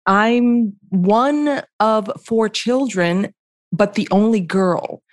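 Slow, blunt New woman. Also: mid-range voice, no room sound, clean studio sound. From the Bronx, New York. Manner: blunt, candid, plainspoken. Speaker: guest, history professor. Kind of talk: casual interview talk. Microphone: remote call.

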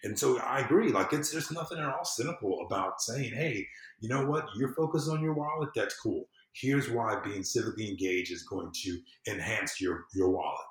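A man's voice: on the low side, moderate pace. A man chatting casually as a podcast guest, explaining in a rambling way and often repeating his phrases. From southern United States. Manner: rambling, repeats phrases, explains through acted-out everyday examples. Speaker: podcast guest, civic engagement consultant. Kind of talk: casual conversational interview. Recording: roomy, echoey sound.

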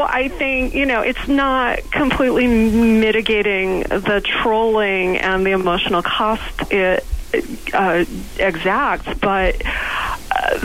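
A woman's voice: medium pitch, fairly bright tone, rough recording. A woman scientist on a radio roundtable who jumps into the discussion, speaking earnestly and with emphasis. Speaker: guest scientist.

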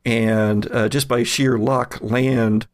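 A man acting as game master, improvising horror narration for a tabletop game with halting, suspenseful pauses. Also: medium pitch, level tone, background noise.

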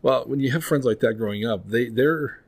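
A man's voice: medium pitch, fairly quick, slightly rough. A man hosting a podcast in casual chat, rambling and looping back with filler phrases. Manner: rambling, keeps saying "you know what I mean". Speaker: podcast host.

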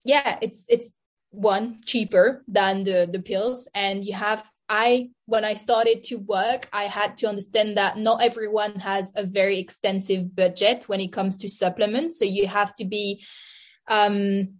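A woman's voice: mid-range voice, fairly even intonation, measured pace. A woman in her twenties with a French accent, a nutritionist guest on a podcast, who uses "like" often and sometimes searches for her words. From France. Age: 20s. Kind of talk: podcast interview.

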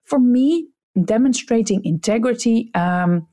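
A woman's voice: on the high side, expressive, unhurried, mellow. A woman with a Dutch accent, whose first language is Dutch, hosting a solo podcast and giving direct, blunt advice to leaders. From Netherlands. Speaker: podcast host, customer experience coach.

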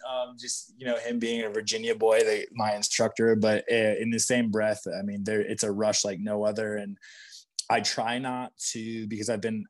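A man in his thirties from Michigan, talking casually in an interview, rambling with fillers and restarts. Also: on the low side. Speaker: outdoor gear category manager, interview guest.

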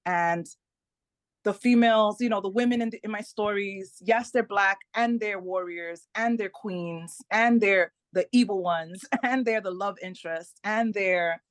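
A Dominican American woman, Spanish her first language, speaks as a guest author in a relaxed interview. She talks in an animated way, restarts her phrases, and keeps asking "right?". Her voice sits at a middle pitch, and the sound is dry and close. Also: unhurried, dry recording. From Dominican American, parents from the Caribbean. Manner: animated, repeats words, often asks "right?". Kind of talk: casual interview talk.

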